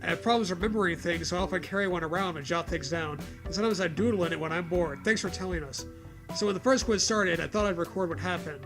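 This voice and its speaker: A man's voice: high-pitched, quick pace, over music. A man reading an online horror story aloud as a comedy co-host, dropping mocking, profane asides.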